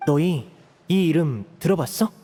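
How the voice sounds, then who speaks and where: An actor playing a young male magician who leads calmly and persuasively in a Korean video game. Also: on the high side, unhurried, long reverb tail, soft background music. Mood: gentle, probing. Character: composed young male magician, caring leader.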